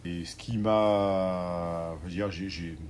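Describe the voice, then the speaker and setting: A man in his forties from southwest France, the guest, talking through his career slowly with many fillers and restarts. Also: sits low, steady tone, a little mellow, a little hiss or hum. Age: 40s. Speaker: organic grocery owner, guest. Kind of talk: conversational interview.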